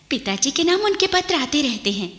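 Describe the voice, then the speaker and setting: A woman's voice: high-pitched, pushed and bright, full character voice. A woman playing a lovestruck young bride-to-be, musing dreamily and wistfully in a radio play monologue. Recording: echoey studio with long reverb.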